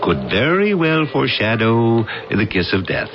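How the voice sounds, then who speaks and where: An actor playing a wry, teasing, easygoing pal in a 1980s radio drama, with a jocular, drawn-out delivery. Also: male voice, mid-range voice, wildly swooping pitch, background noise. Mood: amused, teasing. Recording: dull old radio recording with music.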